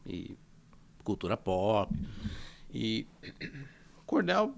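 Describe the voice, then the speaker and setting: Low gravelly podcast host. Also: male voice, very animated, drawn-out words, slight background noise. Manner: curious, prompting questions with short backchannels. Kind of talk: casual podcast interview.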